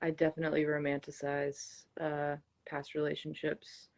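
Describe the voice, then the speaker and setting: A woman's voice: low voice, monotone, moderate pace, darkish tone. A woman being interviewed, talking casually and reflectively, hesitant as she searches for her words. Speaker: interview guest, singer-songwriter.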